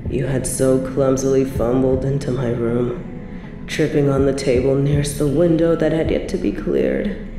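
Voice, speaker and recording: A woman playing an evil, possessive medieval queen in a roleplay audio drama, haughty and theatrical with drawn-out, breathy asides. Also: extremely low pitch, flat delivery, echoey room, music in the background. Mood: smitten, teasing. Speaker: voice actor in a scripted roleplay audio.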